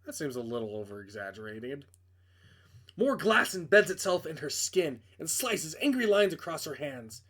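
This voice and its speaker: A man's voice: a little high, sing-song, moderate pace, a bit bright. A man reading a visual novel aloud as narrator, in a dramatic scripted storytelling style.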